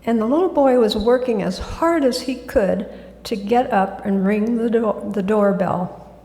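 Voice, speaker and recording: A woman giving a gentle, soft-spoken talk as a guest speaker in a church. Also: on the high side, a little slow. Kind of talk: sermon talk. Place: church sanctuary. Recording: echoey hall.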